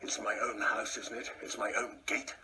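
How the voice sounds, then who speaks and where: An actor playing a pompous, easily rattled older husband in a radio play, given to indignant muttering and repetition. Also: male voice, on the low side, level tone, normal speed, sharp tone. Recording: noisy audio play recording.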